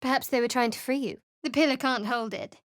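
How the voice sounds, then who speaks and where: A woman voicing a dry, sardonic dark cleric. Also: sits high, fairly even intonation, a little fast, no room sound, close to an everyday voice. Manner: dry, wry and cool, with sly sarcasm. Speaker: party companion in a fantasy video game. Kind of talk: recorded game voice lines. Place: studio booth. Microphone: close studio mic.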